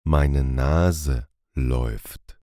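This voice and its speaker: A man reading short phrases calmly and deliberately for a language lesson, repeating each one. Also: extremely low pitch, dry recording, studio quality. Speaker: language teacher.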